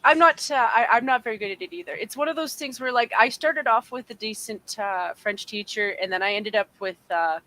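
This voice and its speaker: Higher-voiced woman rambling casually. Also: fairly quick, few pauses, pushed and bright, poor audio. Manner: rambling, full of fillers and restarts. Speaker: podcast co-host. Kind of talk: casual chat.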